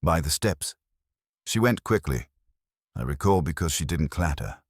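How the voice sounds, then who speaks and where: A man's voice: very deep voice, animated, measured pace, no room sound, clean studio sound, exaggerated voice. Guiltily precise male witness by a narrator. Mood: eagerly helpful. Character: thin, guiltily observant male flower enthusiast.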